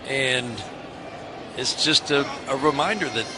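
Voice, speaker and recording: A man's voice: mid-range voice, slow pace. A man with an American accent doing live radio play-by-play commentary of a baseball game. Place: stadium broadcast booth. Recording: clear outdoor ballpark ambience noise.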